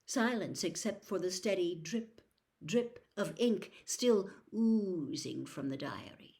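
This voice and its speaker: A woman reading a storybook aloud as narrator, expressive and suspenseful, with dramatic pauses. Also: fairly low pitch. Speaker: audiobook reader, host.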